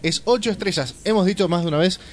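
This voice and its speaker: Teasing Rioplatense man, raised pitch. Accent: Rioplatense Spanish. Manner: joking, teasing, cuts in with quick remarks. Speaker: radio show co-host. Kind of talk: casual studio banter.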